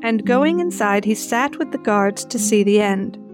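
A woman with a mid-range voice narrates scripture as a dramatic reading. Plucked guitar music plays clearly behind her. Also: mid-range voice, animated, a little slow.

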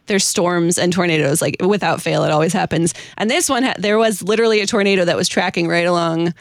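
A woman's voice: lowish voice, fast, no room sound, studio quality. An American woman hosting a casual chat, informal and giggly, who also reads verses aloud. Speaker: host presenting a hymn-writer's story.

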